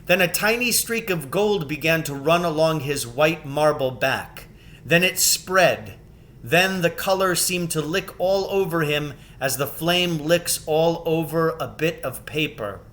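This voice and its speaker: A man reading a children's novel aloud as scripted narration, with measured, expressive phrasing. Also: fairly high pitch, a little hiss or hum. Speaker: storybook reader.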